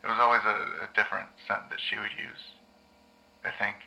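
A man acting as a troubled male therapy patient in an audio drama. His voice is gravelly and very sharp, a little low, and he speaks in a halting, unsure way. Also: full character voice, low-quality recording. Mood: hesitant, doubtful. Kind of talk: scripted audio drama dialogue.

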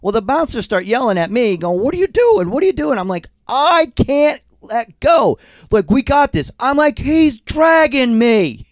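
A man's voice: very high-pitched, moderate pace, no room sound. A man co-hosting a podcast from a studio, a bit sick and congested. He tells a story with lots of rise and fall in his voice, laughing as he goes. The sound is close and dry. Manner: animated storyteller, laughs while telling. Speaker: co-host. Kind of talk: casual storytelling chat. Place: recording studio. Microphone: studio mic.

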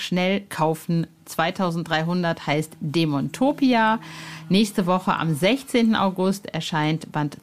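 Chatty woman hosting a podcast. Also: deep voice. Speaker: podcast host.

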